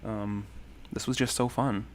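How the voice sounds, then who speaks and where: A man's voice: on the low side, steady tone, unhurried, slight background noise. Rambling man in his thirties, livestream chat. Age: 30s. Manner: rambling, friendly, many ums and thank-yous. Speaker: livestream host. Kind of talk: casual livestream chat reading comments.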